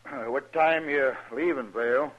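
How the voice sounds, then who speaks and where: A man's voice: medium pitch, a little slow, natural voice. A hearty American frontier storekeeper played by a man, hospitable and plain-spoken. His mid-range voice comes through a dull vintage radio western recording. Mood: wary, grumbling. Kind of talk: 1950s radio western acting.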